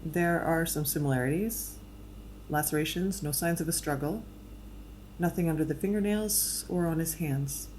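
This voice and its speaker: A woman playing a low-voiced, dry medical examiner. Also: normal speed, a little mellow, a little hiss or hum, lightly acted. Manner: clinical, dry. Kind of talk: audio drama acting.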